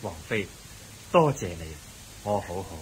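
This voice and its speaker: An actor playing a calm, kindly Buddha in a radio drama, giving advice in a measured, gentle manner. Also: male voice, fairly low pitch, noisy background. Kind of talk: Cantonese radio drama acting.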